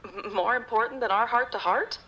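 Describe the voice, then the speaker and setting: A woman acting as a caring, gently insistent best friend in naturalistic audio drama dialogue. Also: a little high, brisk, dull audio. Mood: concerned, teasing.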